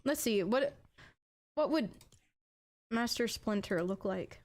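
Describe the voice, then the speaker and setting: A young adult woman with an accent, chatting casually as a game streamer and bursting into excited exclamations. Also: on the high side, slow. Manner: chatty, bursts into excited "ooh"s over items. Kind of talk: casual live-stream chat.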